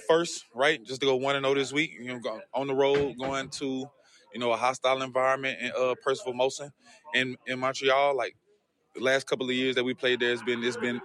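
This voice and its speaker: A man's voice: medium pitch, bright, forceful tone. A man who plays football, answering interview questions in a loose, rambling way full of fillers and restarts. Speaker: football player.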